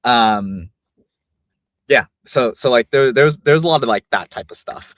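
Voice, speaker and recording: A young man who grew up in Vietnam and speaks with an American accent, chatting easily as an interview guest and filling pauses with "um" and "like". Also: mid-range voice, no echo, clean studio sound. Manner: relaxed, many fillers like "um" and "like". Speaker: guest, company founder. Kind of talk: casual interview chat.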